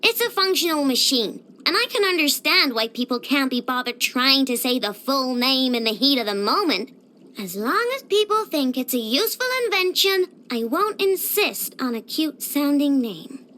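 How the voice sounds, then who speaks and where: Game role: child genius inventor, very high, bright voice, boastful and bubbly. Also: female voice, lively intonation, normal speed. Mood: cheerful, proud. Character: cheerful young girl genius inventor, proud and childlike. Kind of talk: scripted video game character voice lines.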